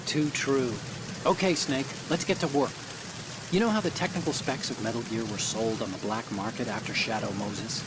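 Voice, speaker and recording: An American actor playing a brainy, self-assured hacker in a video game, explaining things in an earnest, confident manner. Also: male voice, medium pitch, normal speed, lightly acted. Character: brainy, self-assured male tech-support partner and hacker. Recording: heavy rain and background noise.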